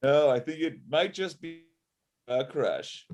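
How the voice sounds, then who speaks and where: A laid-back male buddy, acted by a man with a slightly high voice. He talks in a casual, low-key way in a comedy table read on a video call. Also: lively intonation, slow pace, a little mellow. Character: laid-back male work partner of a robot. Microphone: video call computer microphone.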